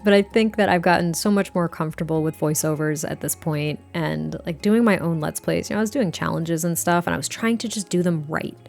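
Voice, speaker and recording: A woman in her thirties with a hoarse, gritty, raspy voice, chatting casually and self-deprecatingly in a gaming voiceover. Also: lowish voice, a little fast, hardly any pauses, darkish tone, soft background music. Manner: rambling, self-deprecating, laughs often. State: hoarse from a weekend of cheering and singing. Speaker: Sims YouTuber.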